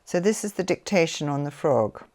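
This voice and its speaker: Woman, English accent, lowish voice, earnest, deliberate phrasing, pauses, informal talk and reading aloud. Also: expressive, dark tone. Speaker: speaker.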